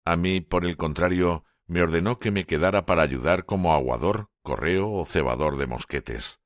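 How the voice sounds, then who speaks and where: A man voicing a middle-aged naval lieutenant, calm and commanding, in measured, grave storytelling. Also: low voice, no echo, studio quality, close to an everyday voice. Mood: reminiscing. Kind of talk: audiobook character dialogue.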